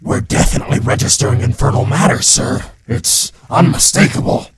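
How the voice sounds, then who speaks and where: A man's voice: fairly high pitch, animated, brisk, creaky, very noisy. A man voicing an alert demon technician who reports to a superior. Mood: urgent, puzzled.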